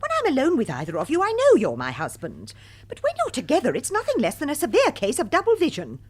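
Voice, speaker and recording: An actress playing a testy, respectable British wife in a radio comedy drama, speaking in an arch, precise, wry manner. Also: female voice, high voice, huge pitch swings, fast talker, a little mellow, full character voice. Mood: wry, strained. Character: testy, respectable, long-married middle-class wife.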